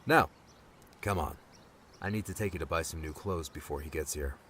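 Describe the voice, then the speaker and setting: A man's voice: extremely low pitch, normal speed, a bit bright, a little hiss or hum. A man playing a laid-back, protective rescuer with dry humor in an audiobook. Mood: wry. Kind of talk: audiobook character dialogue.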